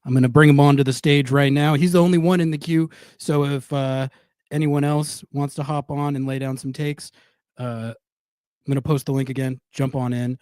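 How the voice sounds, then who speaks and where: A man hosting a call-in show, talking to callers in a relaxed, conversational way. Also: mid-range voice, normal speed, clear, resonant tone, dry recording, clean studio sound. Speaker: host.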